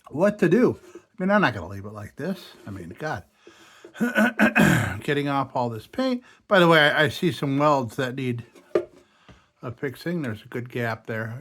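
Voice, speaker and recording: A hoarse man talking casually to himself as he restores an old lantern at a workshop bench, thinking aloud. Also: mid-range voice, a bit bright, slight background noise. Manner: chatty, thinking aloud while working. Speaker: restoration channel host.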